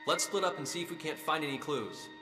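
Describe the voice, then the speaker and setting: An actor playing an earnest young hero and party leader in an anime-style game. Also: male voice, fairly high pitch, expressive, quick pace, lightly acted. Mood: focused. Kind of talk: English-dubbed anime-style game acting. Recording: hall reverb, music behind.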